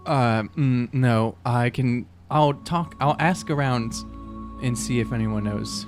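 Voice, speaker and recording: Male tabletop player, mid-pitched, hesitant, dry delivery, casual improvised roleplay over faint music. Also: slow.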